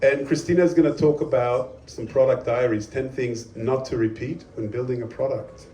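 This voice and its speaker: A man's voice: mid-range voice, steady tone, normal speed, mellow. Brief: male host introducing a speaker at a meetup, upbeat, hall echo. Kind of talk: live event introduction. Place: event hall. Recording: echoey hall, distant mic, faint hum.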